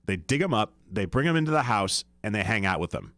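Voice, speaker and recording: A man co-hosts a casual radio chat in a lowish voice. He is chatty and speaks straight to the listeners. The recording is dry and close, with no room echo. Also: sing-song, fast, a bit bright. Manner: chatty, addresses listeners directly. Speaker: radio co-host.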